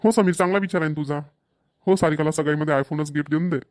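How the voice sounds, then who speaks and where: A middle-aged cartoon father played by a man talks quickly in Vidarbha Marathi. He is an approving, easygoing head of a village household. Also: fairly high pitch, fairly even intonation, darkish tone, close to an everyday voice. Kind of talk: amateur cartoon dialogue.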